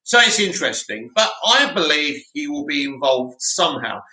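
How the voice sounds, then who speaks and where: A man hosting a live stream, reading out viewer comments and answering them in a loud, opinionated way with lots of restarts. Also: fairly high pitch, sing-song, moderate pace. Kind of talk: casual live chat. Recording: echoey room.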